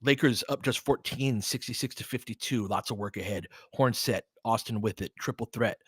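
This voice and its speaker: Male livestream sports commentator, average pitch, conversational and clipped, live game calls, very dry sound. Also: moderate pace, breathy edge, no echo. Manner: conversational, clipped play-by-play phrases. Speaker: livestream play-by-play host. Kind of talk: casual live basketball commentary with fan chat.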